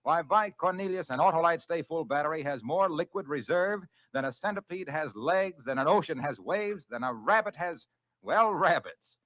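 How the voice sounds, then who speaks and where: A man's voice: fairly high pitch, expressive, moderate pace, a bit bright, no room sound. An American man delivering a 1940s radio commercial with folksy, exuberant salesmanship, on an old radio recording.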